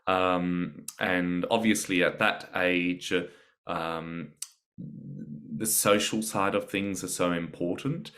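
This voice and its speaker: A man with a slight British accent, speaking as a guest on a podcast about teaching teenagers, measured and reflective with frequent pauses. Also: sits low, fairly even intonation, a bit bright. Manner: measured, reflective, pausing often. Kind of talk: podcast interview.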